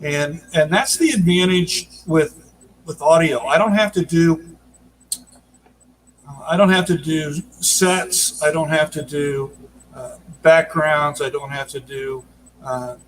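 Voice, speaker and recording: Male producer, interviewee on a video call, higher-pitched, halting conversational delivery, faint noise behind. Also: rough recording. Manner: halting, explanatory. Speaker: audio drama producer and director. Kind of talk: casual interview chat.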